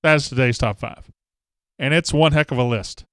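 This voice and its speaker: A man's voice: medium pitch, normal speed, a bit bright, no room sound, studio quality. A man hosting a casual live show, chatting and reading viewer comments with self-mocking jokes. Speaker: host. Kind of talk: casual live-stream chat.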